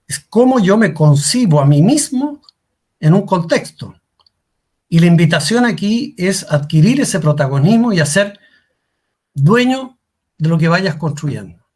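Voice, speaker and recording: A man's voice: sits high, sing-song, unhurried, darkish tone. A middle-aged man giving a career workshop talk as a coach, asking rhetorical questions and repeating key lines for emphasis. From Chile.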